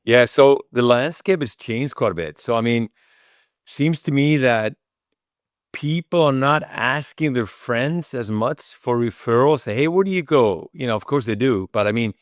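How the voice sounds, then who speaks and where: A man with an Icelandic accent talks in a loose, conversational way, as an interview guest would. His pitch sits in the middle of the male range. He rambles a little and acts out bits of dialogue, in a dry recording. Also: normal speed, no room sound. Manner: informal, rambling, acts out quoted remarks. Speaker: interview guest, chiropractic clinic owner. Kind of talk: casual conversational interview answers.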